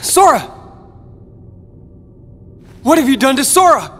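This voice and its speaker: A man's voice: very high voice, very animated, slow, fairly bright tone, big-room sound, slight background noise, full character voice. An actor playing a brooding, determined teenage boy in a video game, with a cool, guarded, moody delivery. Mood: alarmed. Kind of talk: video game cutscene acting.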